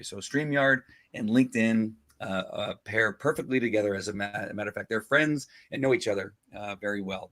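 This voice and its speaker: A middle-aged man hosting a live video show, walking through a screen-share tutorial in a chatty, rambling way with lots of fillers, recorded on a boom mic in a small home studio. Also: a bit bright, dry recording. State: recovering from losing his voice. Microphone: studio mic on boom arm.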